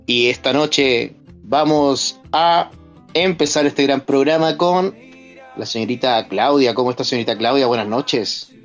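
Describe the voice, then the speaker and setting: A man's voice: medium pitch, a little slow, muffled recording, soft background music. A man with a Chilean accent hosting a podcast, introducing his guests in an upbeat, ironic way. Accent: Chilean Spanish. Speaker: podcast host.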